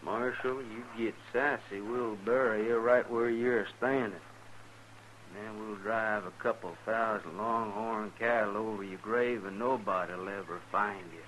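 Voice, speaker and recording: A man's voice: on the low side, steady tone, a little slow, a bit bright. A man playing a defiant cattle drive boss with a folksy, threatening drawl in a 1950s radio western. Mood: defiant, menacing. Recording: noisy 1950s radio recording.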